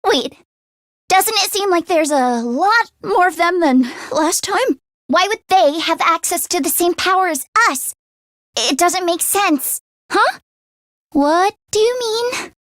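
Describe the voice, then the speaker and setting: An earnest teenage girl fighter from a video game, pitched very high and recorded dry and close. She is anxious but tech-minded. Her delivery is expressive, with a hesitant stammer. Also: takes it slowly, dry recording, full character voice. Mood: startled, puzzled. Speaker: voice actress.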